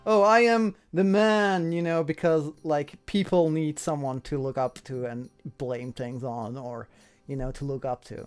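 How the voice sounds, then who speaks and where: Rambling man with a slightly high voice. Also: steady tone, measured pace, smooth, faint music behind. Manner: rambling, explanatory, repeats himself. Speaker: interviewer. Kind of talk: casual chat.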